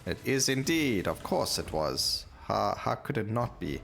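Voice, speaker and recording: Low voiced guy talking through his game, casual, thinking out loud, some music underneath. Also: very animated, unhurried, vocal fry. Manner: thinks aloud, explaining choices to viewers. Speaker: gaming video host. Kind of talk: casual gameplay commentary. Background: video game music.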